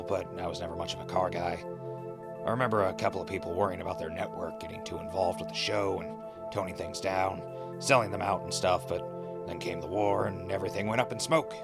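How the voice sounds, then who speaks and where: A man's voice: sits low, vocal fry. A man playing a chatty, wry wasteland radio host in an audio drama, casual and easygoing, prone to asides. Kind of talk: radio drama acting with mock sponsor reads.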